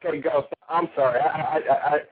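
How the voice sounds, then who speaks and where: A man's voice: on the high side, steady tone, normal speed, over a noisy crowd. A man with an Italian accent calling in to a radio show, ranting crudely and heatedly with frequent stumbles. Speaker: caller. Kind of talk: call-in radio rant. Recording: tinny phone line.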